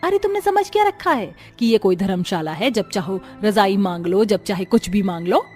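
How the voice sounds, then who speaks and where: A woman's voice: medium pitch, lively intonation, normal speed, a little mellow, soft background music, lightly acted. A woman playing a mean, stingy young sister-in-law who taunts and scolds in a cartoon moral story. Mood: scornful. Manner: taunting, scornful.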